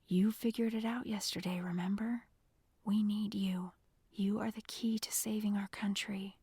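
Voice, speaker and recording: A woman voicing a protective young male agent in an audiobook, speaking in a calm, urgent, commanding whisper. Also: mid-range voice, unhurried, husky, dry recording. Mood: urgent, reassuring. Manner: hushed, reassuring, commanding whisper. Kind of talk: audiobook character dialogue.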